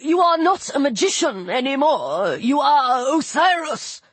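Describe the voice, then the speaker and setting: A high, shrill voice for an indignant god of judgment in a storybook, acted by a woman. He is fussy and quick to take offence, exclaiming his formal words far above a normal speaking pitch. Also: very animated, no echo. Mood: urgent.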